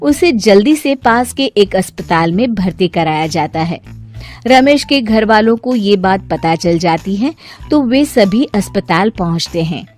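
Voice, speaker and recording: A woman's voice: mid-range voice, animated, a little slow, darkish tone, soft background music. A woman narrator reading a scripted story.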